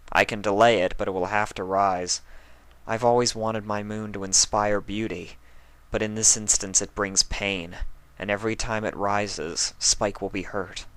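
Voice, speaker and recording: A man voicing a stern but caring pony princess of the night who talks softly and gravely. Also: fairly low pitch, little pitch movement, normal speed, close to an everyday voice, poor audio.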